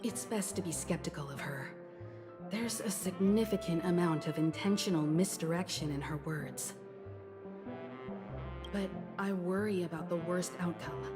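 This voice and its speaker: An actress playing a calm, shrewd, courteous leader in a video game, speaking in a measured, diplomatic and guarded way. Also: female voice, lowish voice, music in the background, lightly acted. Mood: calm, wary. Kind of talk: scripted game dialogue.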